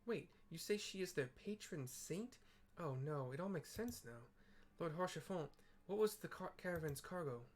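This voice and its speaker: A man's voice: a little high, lively intonation, moderate pace, pitched above the performer's own voice. A man reading Alphinaud, earnest young scholar. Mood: urgent. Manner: reads lines aloud in a light, near-natural voice.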